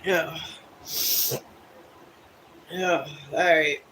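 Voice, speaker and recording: A man in his fifties from Ohio, chatting casually with viewers on a live stream in a rambling, musing, self-deprecating way, a bit high. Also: fairly even intonation, drawn-out words, sharp tone, slight background noise, rough recording. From Cleveland, Ohio. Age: 50s.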